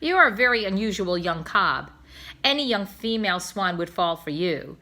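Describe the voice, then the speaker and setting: A woman's voice: medium pitch, animated, moderate pace, bright, forceful tone. A woman voicing a kindly but firm male zoo bird keeper in a storybook, speaking emphatically and persuasively. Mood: kindly, delighted.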